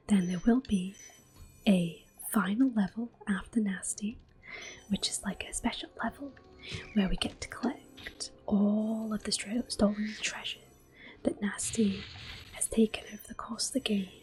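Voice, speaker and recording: Softly whispering woman, slow and mellow. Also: medium pitch, steady tone, soft background music, low-quality recording. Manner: soft whisper, gentle and unhurried. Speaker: ASMR gaming host. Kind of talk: whispered ASMR game commentary.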